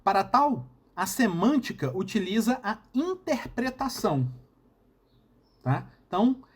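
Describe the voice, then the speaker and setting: A man with a Brazilian Portuguese accent and a husky voice, giving a recorded university lecture and often checking in with the class. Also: sits high. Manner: explanatory, frequent checking "tá?" and "né?".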